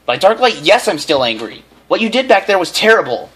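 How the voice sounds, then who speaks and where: An indignant mother pony played by a man in a storybook tale. The voice is pushed up high and scolds sharply, demanding answers. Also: very animated, a little fast, a bit bright, slightly rough, heavily acted. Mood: exasperated.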